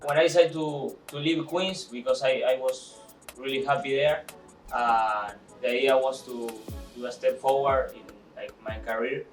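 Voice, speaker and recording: A man's voice: mid-range voice, steady tone, moderate pace. A man in his twenties from Argentina with an Argentine Spanish accent, speaking English as a second language with frequent restarts and "eh" fillers in a documentary interview. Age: 20s. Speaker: university soccer midfielder. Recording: echoey room, distant mic, faint music.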